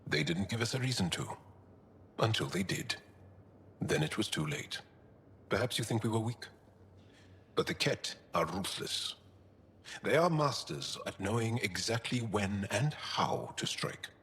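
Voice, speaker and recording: Gentle alien rebel from a video game, real deep and slow, solemn, big hall reverb. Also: male voice, animated. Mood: grave. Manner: measured, solemn, heartfelt. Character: gentle, earnest adult male alien resistance fighter. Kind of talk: video game cutscene acting.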